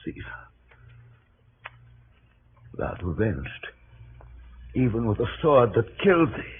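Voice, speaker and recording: An actor playing a weary, defeated Roman commander resolved to die, grave and theatrical in 1930s radio Shakespeare style. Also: male voice, medium pitch, big pitch swings, slow pace. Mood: resigned, grave. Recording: tinny, noisy 1930s radio recording.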